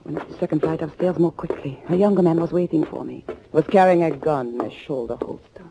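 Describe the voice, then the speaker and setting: An actress playing a determined German underground agent in a 1940s radio thriller, speaking in measured, formal phrases. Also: female voice, deep voice, creaky, noisy background. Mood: grave, suspenseful. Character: German underground woman recounting a vengeance mission, grave and determined. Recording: muffled 1940s radio recording.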